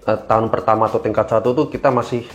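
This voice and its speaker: A young man with an Indonesian accent giving casual explanatory answers as an interview guest, informal and halting as he thinks aloud. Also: on the low side, fairly even intonation, faint music behind. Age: young adult. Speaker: interview guest, government cybersecurity engineer.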